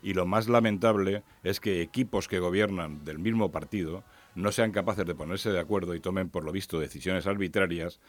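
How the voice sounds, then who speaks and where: A man's voice: sits low, steady tone, normal speed, few pauses, no room sound. A man from Ciudad Real, a panelist in a radio debate, speaking in an emphatic, lamenting way. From Ciudad Real, Spain.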